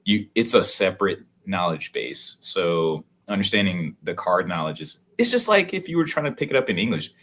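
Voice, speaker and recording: A man's voice: sits low, sing-song, moderate pace, hardly any pauses, a bit bright. A middle-aged man chatting casually as a guest on a livestream, laid-back and swearing now and then. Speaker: guest, card collector.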